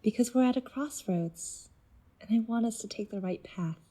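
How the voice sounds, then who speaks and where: A woman playing an intense, seductive nonhuman being in human guise in an audio drama, speaking in a deliberate, persuasive way. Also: a little high, dark tone, natural voice. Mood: intimate, persuasive. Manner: deliberate, measured, persuasive monologue.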